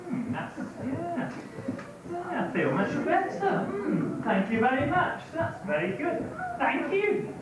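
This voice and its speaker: Woman playing a high-voiced grateful pantomime boy, echoey. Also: sing-song, slow pace, exaggerated voice. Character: young boy Jack, grateful pantomime nursery-rhyme patient. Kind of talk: amateur stage pantomime acting. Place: stage in a hall before an audience. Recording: echoey hall from far off, noisy camcorder.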